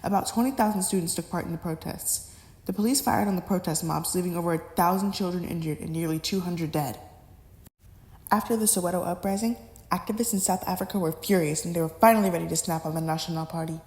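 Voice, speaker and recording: A woman's voice: on the low side, a little mellow. A young adult woman reading a scripted educational narration as the narrator, recorded in an echoey room.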